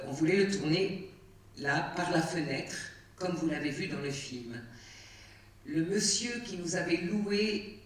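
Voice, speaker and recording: A woman's voice: low-pitched, recorded from a distance, slight background noise. A woman, a film director chatting with an audience after a screening, telling stories with many fillers and restarts. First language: Arabic. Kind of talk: casual talk to an audience. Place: cinema auditorium. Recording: echoey cinema hall, heard from afar.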